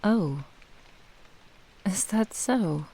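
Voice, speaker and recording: A woman playing a possessive, doting fox-spirit witch in an intimate ASMR roleplay, teasing in formal old-fashioned phrasing and often dropping into breathy whispers. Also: medium pitch, animated, extremely slow, mellow, full character voice, rough recording. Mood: teasing, delighted. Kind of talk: ASMR roleplay, intimate scripted monologue to the listener.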